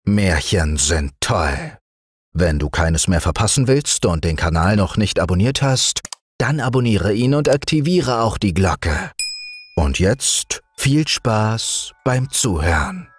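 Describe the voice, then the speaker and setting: A man reading a fairy tale aloud as a calm bedtime audiobook, soothing and expressive. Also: lowish voice, slow pace, breathy edge. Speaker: storyteller reading fairy tales.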